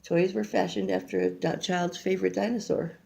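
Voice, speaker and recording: An older woman reads a picture book aloud like a grandmother, haltingly, stumbling over words and adding casual asides. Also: fairly low pitch, big pitch swings, creaky, darkish tone. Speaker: grandmother reading a storybook aloud.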